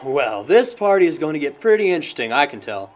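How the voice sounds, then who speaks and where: Young American male actor as a gossipy, sarcastic pal for audio drama, slightly high voice, very animated melody. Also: fast. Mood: wry. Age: young adult. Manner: wry, chatty, sarcastic. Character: wry, gossipy young man defending and teasing friends. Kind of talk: conversational audio drama acting.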